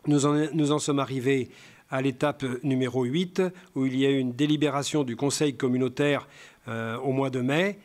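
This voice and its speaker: A man speaking as a local councillor, giving a formal, partly improvised presentation of a report in a halting way, often searching for words. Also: medium pitch, fairly even intonation, darkish tone. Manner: halting, hesitant delivery with frequent searching for words.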